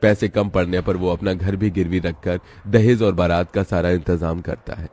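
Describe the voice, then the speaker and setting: Deep-voiced male story narrator. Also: moderate pace. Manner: steady, dramatic storytelling.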